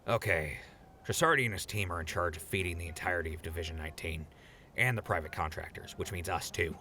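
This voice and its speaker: A man's voice: low-pitched, fast, sharp tone, slight background noise. A man playing a wry, needling but sensible ghoul in a post-apocalyptic audio drama, casual and sardonic. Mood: exasperated, coaxing.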